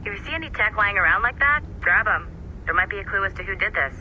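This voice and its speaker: High-pitched, sly office techie. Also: male voice, expressive, dull audio, a little hiss or hum. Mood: helpful. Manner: halting, insinuating asides. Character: nervy, sly office tech guy giving hints. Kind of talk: video game voice acting.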